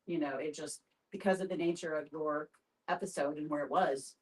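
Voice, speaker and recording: Woman, slightly low pitch, explanatory, halting phrasing, group discussion, a bit off-mic. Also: fairly even intonation. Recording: voice picked up from a distance.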